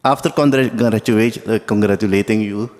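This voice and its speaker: A man from Suriname whose first language is Dutch, giving a halting ceremonial speech in English with many fillers. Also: on the low side, a little mellow. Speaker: guest speaker. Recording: long hall reverb.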